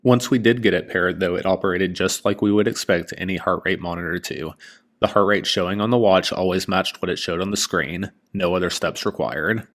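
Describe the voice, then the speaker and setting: A man reading a scripted product review as host, in a measured, informative way. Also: low voice, steady tone.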